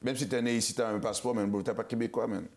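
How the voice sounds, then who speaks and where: A man's voice: medium pitch, fairly even intonation, fast talker, darkish tone. A man with a Haitian accent hosting a casual talk show. He stammers and restarts a lot and mixes Creole and English words into his French. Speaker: show host.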